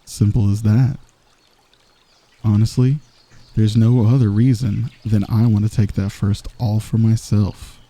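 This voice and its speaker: The cocky villain of a late-night romance audio roleplay, cornering an enemy to tease softly in a very dark, creaky voice right by the ear a man acting it out. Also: fairly low pitch, steady tone, measured pace. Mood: smug teasing. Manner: soft, intimate, teasing, cocky. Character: smug, teasing, flirtatious male villain wooing an enemy. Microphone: close microphone.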